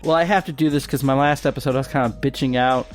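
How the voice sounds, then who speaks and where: A man's voice: medium pitch, steady tone, fast talker, soft background music. A man hosting a podcast in casual chat, laid-back and rambling, laughing often. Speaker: podcast host.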